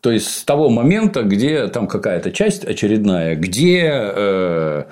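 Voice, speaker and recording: A man's voice: fairly low pitch, very animated, unhurried, darkish tone. A middle-aged man talking bluntly and sarcastically as host in a casual chat. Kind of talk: casual podcast chat, critical review.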